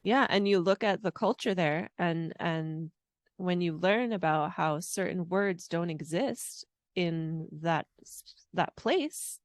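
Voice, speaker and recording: A woman's voice: fairly low pitch, dry recording, studio quality. A woman talking as a guest on a casual podcast, rambling with frequent "like" and "you know". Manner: rambling, filled with "like". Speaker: podcast guest, intuitive mentor. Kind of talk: casual conversation.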